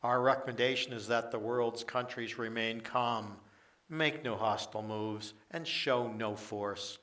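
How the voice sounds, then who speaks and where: A man playing a formal senior diplomat reading an official statement to the press in a radio play, deliberate in delivery. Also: medium pitch, steady tone, fairly bright tone, close to an everyday voice. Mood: formal, reassuring. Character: formal United Nations Secretary General addressing press. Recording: distant from the microphone.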